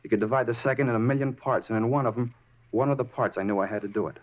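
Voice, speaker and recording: Fast-talking, rambling murder suspect, played by a man. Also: medium pitch, fairly even intonation, natural voice. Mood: earnest. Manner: rambling, dwells on small details, repeats himself. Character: talkative, unhinged murder suspect confessing, insistent and self-justifying. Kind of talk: 1950s radio drama acting. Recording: muffled 1950s radio recording.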